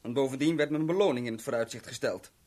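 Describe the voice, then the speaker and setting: Actor as cocky, husky small-time crook. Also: male voice, mid-range voice, darkish tone, close to an everyday voice. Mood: chummy, explaining. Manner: mock-friendly, boastful, unhurried storytelling. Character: small-time crook Tony, cocky, chummy, scheming kidnapper. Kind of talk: 1970s Dutch radio drama acting.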